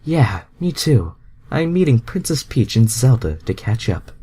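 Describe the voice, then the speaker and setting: A man's voice: mid-range voice, very animated, measured pace, mellow, breathy edge. A man voicing a friendly, flirty woman bounty hunter from fan fiction, in a casual, coy manner. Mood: coy, hopeful.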